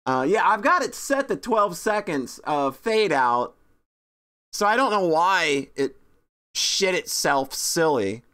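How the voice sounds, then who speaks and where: A woman's voice: lowish voice, big pitch swings, slow pace, a bit bright. A woman streaming a game, chatting with viewers in a self-deprecating joking way. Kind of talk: casual game stream chat.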